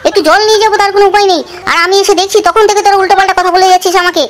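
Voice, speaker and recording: A woman's voice: very high voice, steady tone, rapid-fire, a loud crowd. A woman voicing a hot-tempered village sister-in-law in a comedy cartoon, shrilly scolding and cursing. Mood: furious scolding. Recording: clearly noisy recording.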